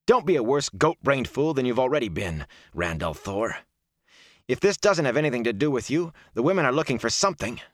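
A man voicing a fierce young village wise woman with a sharp, touchy, bossy manner in a fantasy audiobook. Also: fairly low pitch, big pitch swings, normal speed, no room sound, clean studio sound, voice pushed up. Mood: bossy, exasperated.

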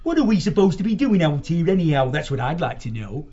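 A man's voice: fairly high pitch, big pitch swings, fast talker, mellow, husky, dull audio. A man voicing a weary, grumbling animal foot soldier in an audiobook story, chatty and fed up. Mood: disgruntled.

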